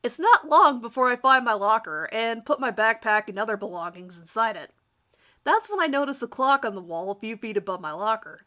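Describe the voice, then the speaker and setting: A woman doing an amateur dramatic reading of a first-person story in an expressive, theatrical manner. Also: fairly high pitch, fairly quick. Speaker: amateur reader of her own fan fiction.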